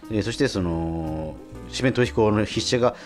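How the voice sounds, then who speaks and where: A man hosting a radio show, chatting casually in a halting way. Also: on the low side, soft background music. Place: radio studio.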